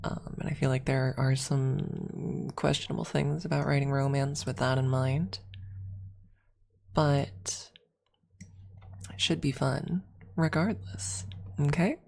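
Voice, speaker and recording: A woman with a low, mellow voice speaks close to the mic in a soft ASMR register. Her delivery is slow and gentle, with a breathy texture that often slips toward a whisper. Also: fairly even intonation. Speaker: streamer. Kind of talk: ASMR, casual chat and soft story reading. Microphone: close-up microphone.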